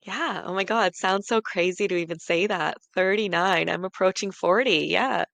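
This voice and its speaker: Gravelly-voiced woman in her thirties, chatty. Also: fairly low pitch, normal speed, a bit bright, no room sound. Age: 30s. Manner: chatty, rambling, laughs at herself. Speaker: podcast co-host. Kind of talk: casual chat.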